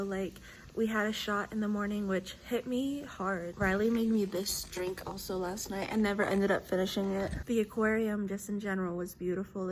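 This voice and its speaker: A woman's voice: mid-range voice, a little hiss or hum, rough recording. A woman in her twenties chatting casually to a phone camera in a vlog, rambling with lots of "like" fillers. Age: 20s. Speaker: vlogger. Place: indoors and in a car.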